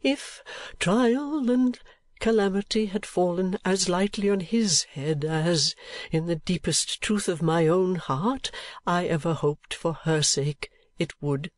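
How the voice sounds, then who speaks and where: A man voicing a kindly, excitable, sentimental old gentleman in a storybook reading, with an emphatic, halting, drawn-out delivery. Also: high-pitched, dry recording, voice pushed up, full character voice. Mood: wistful, tender. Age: older.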